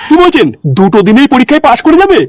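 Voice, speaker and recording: A man's voice: extremely high pitch, very animated, very fast, no room sound, studio quality. A man voicing a polite, hesitant schoolmaster in a comedy cartoon, questioning doubtfully. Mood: surprised, polite.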